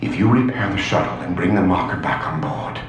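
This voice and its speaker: An actor playing an anxious, obsessive scientist in a horror game, intense and urgent, desperate for redemption. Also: male voice, sits low, a little fast, close to an everyday voice. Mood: hushed hope. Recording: very echoey, voice off the mic.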